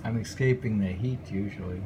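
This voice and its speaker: A man reading haiku aloud with casual commentary, in an unhurried, musing way with pauses between phrases. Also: lowish voice. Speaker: poetry reader and commentator. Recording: clearly noisy home recording with ambience.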